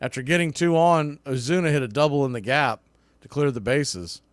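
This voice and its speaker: A man doing live baseball radio play-by-play in short, clipped phrases, rising to excitement when the action calls for it. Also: medium pitch, normal speed, no room sound. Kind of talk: live sports radio commentary.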